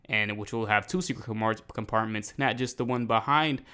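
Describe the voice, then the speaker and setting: A man's voice: on the low side, brisk, clean tone. Slangy young American man reacting. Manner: animated, slangy, emphatic, rambling. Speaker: reaction video host. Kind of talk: casual reaction commentary. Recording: faint music behind the voice.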